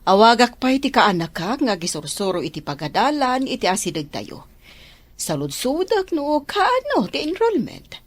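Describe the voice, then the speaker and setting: Husky, animated madam of the house, acted by a woman. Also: on the high side, moderate pace, dry recording. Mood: brisk, helpful. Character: kindly but commanding lady of the house, an employer. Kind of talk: radio drama acting in dialogue.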